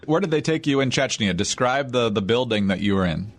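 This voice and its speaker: Man, radio host, measured direct questions, mid pitch. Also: animated. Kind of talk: radio interview.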